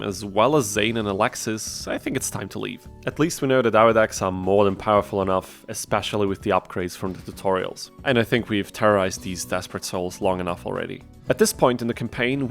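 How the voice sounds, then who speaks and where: A man hosting a gaming video, reading scripted challenge-run narration with dry, tongue-in-cheek asides. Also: lowish voice. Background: background music.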